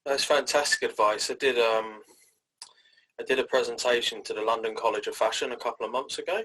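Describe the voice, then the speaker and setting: A man hosting a career interview, chatting in an animated, jokey way. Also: medium pitch, fairly quick, husky, rough recording. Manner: animated, jokey, builds up examples. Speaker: host, career coach. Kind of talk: casual interview chat.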